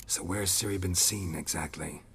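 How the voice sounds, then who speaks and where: An actor playing a gruff, world-weary monster hunter in a video game, terse and deadpan with a gravelly voice. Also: male voice, low-pitched, moderate pace, a little hiss or hum. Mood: serious. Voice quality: gravelly, husky. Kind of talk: dramatic video game voice acting. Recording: long hall reverb.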